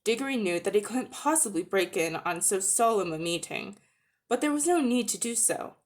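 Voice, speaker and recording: A woman's voice: mid-range voice, animated, moderate pace. Woman reading a story dramatically. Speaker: audiobook narrator.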